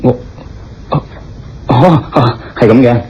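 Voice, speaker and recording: An actor playing a concerned, tactful gentleman who speaks with careful hesitation in a radio drama. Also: mid-range voice, slow pace, husky. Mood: probing, reassuring. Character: concerned, tactful gentleman probing and reassuring a stranger. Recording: dull lo-fi radio drama, music behind.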